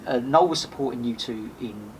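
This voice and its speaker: A man telling rambling anecdotes in a casual podcast chat, animated and full of restarts. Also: mid-range voice, moderate pace. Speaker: podcast host and guest. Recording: noisy background.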